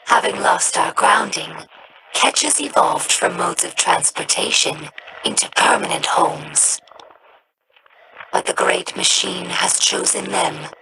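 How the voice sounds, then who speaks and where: A woman voicing a young female alien scribe in a game, earnest and devout, speaking in a measured, reverent way. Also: on the low side, vocal fry. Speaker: game character. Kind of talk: game voice lines. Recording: noisy game audio rip.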